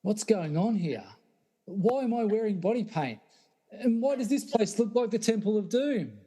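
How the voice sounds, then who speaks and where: A man's voice: sits high, expressive, normal speed, warm tone, slightly rough. A man playing a bewildered, sceptical teacher in an amateur comedy radio play, questioning everything with incredulity. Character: bewildered, sceptical science teacher pulled out of trance.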